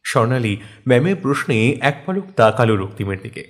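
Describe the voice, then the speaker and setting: A man narrating a scripted love story in a dramatic, wistful, expressive manner. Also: medium pitch, fairly quick. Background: soft instrumental music.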